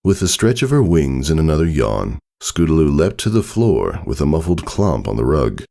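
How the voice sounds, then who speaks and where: A man's voice: rumbling low, sing-song, moderate pace, gravelly, warm tone. A man reading a story aloud as a scripted narration. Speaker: fan fiction reader.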